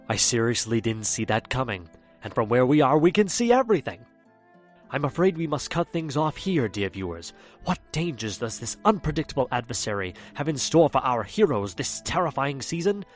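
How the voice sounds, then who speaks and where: A man's voice: mid-range voice, expressive, normal speed, soft background music, lightly acted. Man acting as ominous horror-tale narrator. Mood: knowing, teasing. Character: ominous storyteller addressing his viewers.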